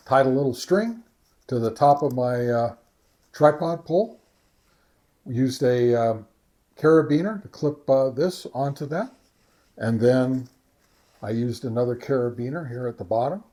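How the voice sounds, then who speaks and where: A man giving a casual, informal product review and field test, talking in a halting, conversational way. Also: medium pitch, a little mellow. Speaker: ham radio reviewer and vlog host.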